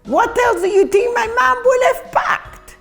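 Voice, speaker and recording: A woman's voice: very high-pitched, unhurried, creaky, a bit bright, soft background music, voice pushed up, exaggerated voice. A woman voicing a put-upon, whiny young boy in a storybook. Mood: grudging. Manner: whiny, grumbling.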